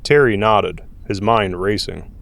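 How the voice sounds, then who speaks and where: A man's voice: lowish voice, unhurried, creaky, fairly bright tone. A man reading scripted dramatic story narration as the narrator of an audio drama.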